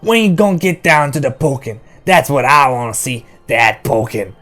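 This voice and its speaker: Man putting on a hoarse, jeering spiteful woman. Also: mid-range voice, lively intonation, moderate pace, a bit bright, soft background music, pitched above the performer's own voice, natural voice. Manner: jeering, crude dialect with drawled taunts. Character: spiteful, suspicious, crude-talking captive woman, hoarse and failing.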